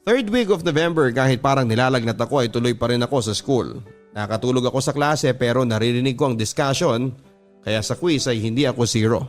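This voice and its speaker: Filipino guy telling a sentimental radio drama story in first person, middle pitch, music behind him. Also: fairly quick.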